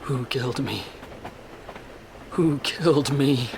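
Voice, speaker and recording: A delirious dying soldier, dragging words. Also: male voice, takes it slowly. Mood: delirious, accusing. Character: dying, delirious male soldier, vengeful and accusing. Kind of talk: radio drama acting. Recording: clear rail-car rumble behind.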